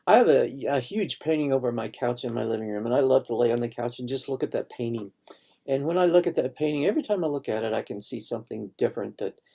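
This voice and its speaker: A man's voice: mid-range voice, vocal fry, a little mellow. A man, a painter, casually walking viewers through his own online exhibition, rambling and thinking aloud with restarts. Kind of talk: casual live gallery tour.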